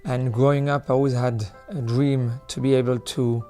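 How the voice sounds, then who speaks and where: A man's voice: medium pitch, little pitch movement, moderate pace, warm tone. A man from England, a rabbi, recounting his life in a video testimonial. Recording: music playing behind the voice.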